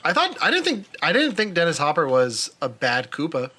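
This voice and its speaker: A man's voice: fairly high pitch, huge pitch swings, brisk, pushed and bright, slightly rough. A man co-hosting a podcast, discussing films in a casual, conversational style. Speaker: podcast co-host. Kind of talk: casual chat about films.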